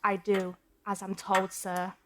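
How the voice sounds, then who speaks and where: A woman's voice: mid-range voice, completely flat delivery, takes it slowly, natural voice. An actress playing a cool, deferential female factory overseer in a period audio drama. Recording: very noisy, clattering background.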